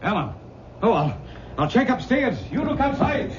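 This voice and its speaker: An actor playing an earnest, paternal family doctor with an authoritative manner in an old-time radio drama. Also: male voice, very high voice. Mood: urgent, alarmed. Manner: measured bedside authority, expressive. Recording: dull, noisy vintage radio recording.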